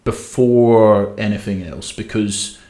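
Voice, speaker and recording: A man's voice: on the low side, fairly even intonation, slow, warm tone, reverberant. A young man with a Scottish accent from the west coast of Scotland, telling his story as a podcast guest, with frequent restarts. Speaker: guest swimmer. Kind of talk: podcast interview. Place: small room with podcast setup. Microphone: desk mic on stand.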